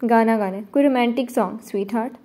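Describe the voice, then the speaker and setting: A smug, boastful young man who teases and brags, as a woman reading a novel voices him. The tone is mellow and a little high. It is told as a storybook reading. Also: fast talker, lightly acted. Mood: teasing. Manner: smug, teasing.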